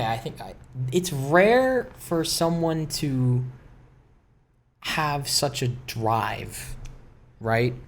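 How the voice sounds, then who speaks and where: A man's voice: mid-range voice, slight background noise. A man rambling introspectively in a solo gaming commentary, hesitant, often repeating and correcting himself. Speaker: gaming video creator doing commentary. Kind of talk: rambling, introspective solo chat over gameplay.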